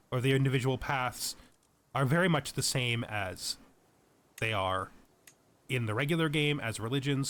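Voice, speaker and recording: Man narrating a game tutorial, mid-pitched. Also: fairly bright tone. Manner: methodical, thorough explainer. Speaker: game tutorial host, player. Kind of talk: explanatory let's play commentary.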